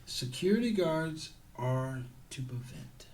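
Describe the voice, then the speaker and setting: A man's voice: medium pitch, animated, takes it slowly, darkish tone. A man who trains security guards, speaking in a casual interview and repeating his points for emphasis.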